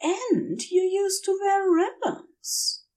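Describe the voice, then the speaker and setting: A woman voicing a gentle, fussy male angel in an audiobook, woozy and fondly reassuring, with drifting speech. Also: extremely high pitch, extremely slow, pitched above the performer's own voice, exaggerated voice. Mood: dreamy. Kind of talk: acted audiobook dialogue.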